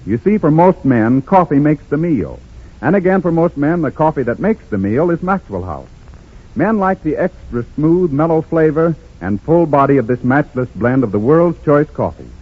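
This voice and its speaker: A man announcing a 1930s radio advertisement in a scripted sales pitch. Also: big pitch swings, moderate pace, husky. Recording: tinny narrow-band 1930s radio recording.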